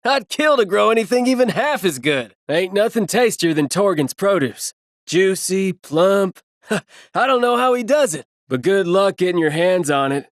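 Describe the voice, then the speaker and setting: A folksy villager from a video game, chatting like a local gossip. His voice sits high and is recorded very dry. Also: expressive, moderate pace, no room sound. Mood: admiring, chatty.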